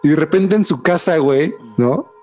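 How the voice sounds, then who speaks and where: A man with a Mexican accent, co-hosting a podcast in an excitable, slang-heavy casual chat. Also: fairly high pitch, moderate pace, soft background music. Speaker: podcast co-host.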